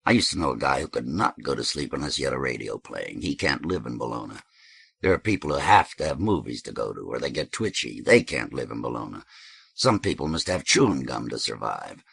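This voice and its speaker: A man's voice: a bass voice, animated, a little fast, vocal fry, fairly bright tone, husky, no echo, pitched below the performer's own voice, heavily acted. A man voicing a sociable, cocky man who holds forth in plain colloquial statements, as dialogue in an audiobook. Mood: holding forth. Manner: colloquial, holding forth, declarative. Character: cocky, hunch-shouldered, sociable man in a cap.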